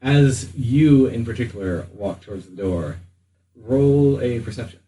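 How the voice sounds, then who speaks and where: A man improvising narration as the game master of a tabletop game, often pausing mid-sentence. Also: mid-range voice, expressive, warm tone. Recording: noisy room, distant mic.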